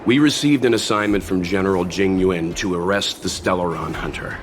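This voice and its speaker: An actor playing a serious, dutiful middle-aged man in a video game, measured, polite and firm. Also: low-pitched, over music, close to an everyday voice. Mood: polite, formal. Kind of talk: scripted video game cutscene acting.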